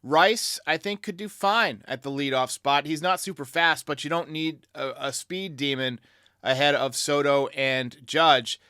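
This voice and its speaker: A man's voice: on the high side, a little slow, sharp tone, clean tone, dry recording, clean studio sound. A man hosting a sports podcast, giving commentary in reply to fans. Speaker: podcast host. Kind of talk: sports commentary answering fan voicemails.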